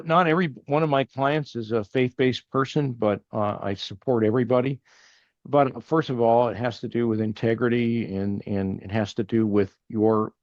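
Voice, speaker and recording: A man's voice: lowish voice, no room sound, lo-fi sound. An older man from Texas, a guest in a casual interview, talking earnestly and rambling with many restarts. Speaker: podcast guest, family banking partner.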